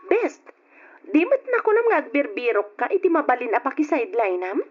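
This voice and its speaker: Eager young student pal, very animated, old radio drama, slightly muffled. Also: female voice, high-pitched, fairly quick, music in the background. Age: young adult. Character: helpful, eager young student offering a friend work. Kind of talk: Ilocano radio drama acting. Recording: dull lo-fi old radio recording.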